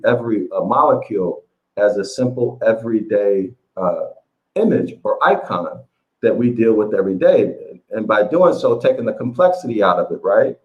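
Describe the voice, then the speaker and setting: Rambling man on a muffled call. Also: lowish voice. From United States. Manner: rambling, many fillers and restarts. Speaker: interview guest, author. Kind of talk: casual storytelling interview. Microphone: online call.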